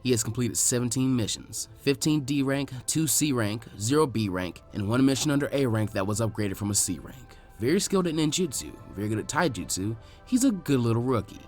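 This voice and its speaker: A man voicing a calm, smug older teenage ninja who talks smoothly and condescendingly in a story reading. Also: medium pitch, brisk, few pauses, soft background music, voice pushed down, lightly acted. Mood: matter-of-fact. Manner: smooth-talking, placating, condescending.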